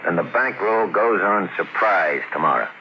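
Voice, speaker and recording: An actor playing a cocky, smooth-talking con man in an old-time radio drama, full of wisecracks and slang. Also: deep voice, animated, normal speed, a little hiss or hum. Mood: brisk, scheming. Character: fast-talking cocky male con man, horse-racing swindler. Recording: muffled old radio recording with music.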